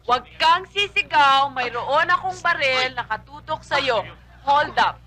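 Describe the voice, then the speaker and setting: A fake holdup woman, lively, high, loud noisy recording. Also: unhurried. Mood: theatrical. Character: young woman faking a holdup.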